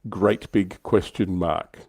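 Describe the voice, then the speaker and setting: Deep-voiced man chatting casually. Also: fairly even intonation, slow, gravelly, a little mellow, husky, no room sound. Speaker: livestream host. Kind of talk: casual hobby discussion.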